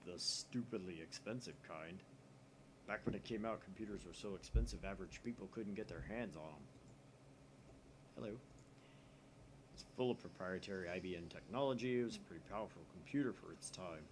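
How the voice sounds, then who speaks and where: A man voicing a laid-back young male hacker friend who talks in an offhand, low mumble. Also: a little fast, background noise, natural voice. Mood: explaining.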